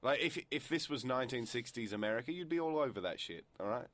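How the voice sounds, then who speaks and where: A young man co-hosting a radio show, trading deadpan jokes with hesitant fillers. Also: mid-range voice, fast, no room sound. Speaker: radio co-host. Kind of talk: casual radio banter.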